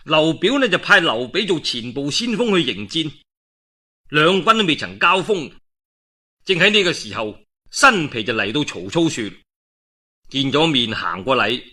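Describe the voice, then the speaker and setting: A man with a Guangdong Cantonese accent telling a historical tale in animated, colloquial traditional storyteller style. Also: on the high side, a little slow. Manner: colloquial, expressive, dramatic with frequent emphatic particles. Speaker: storyteller of a classical historical novel.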